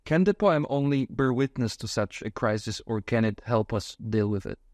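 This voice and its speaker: A man interviewing a poet, asking measured questions with frequent fillers between phrases. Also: mid-range voice, expressive, a little mellow, no echo, clean studio sound.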